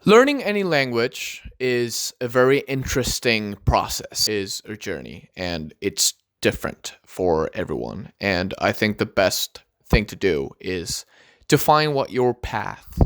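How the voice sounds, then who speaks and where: A man's voice: mid-range voice, fairly bright tone, smooth. Earnest young man giving advice. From Argentina. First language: Spanish. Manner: earnest, encouraging, sometimes slow and stressed. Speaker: vlogger. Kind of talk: casual advice talk to camera.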